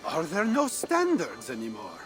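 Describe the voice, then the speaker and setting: High-pitched, haughty Frenchman. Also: wildly swooping pitch, a little slow, over music. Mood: amused disdain. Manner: theatrical, haughty. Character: fussy, theatrical Frankish man, snobbish wine lover. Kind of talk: dramatic video game acting.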